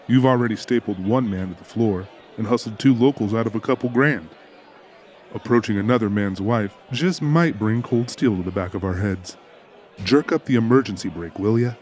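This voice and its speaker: A man's voice: fairly low pitch, darkish tone, close to an everyday voice. A man playing a wry, cautious, folksy buddy in a comic audiobook, with colourful dry phrasing.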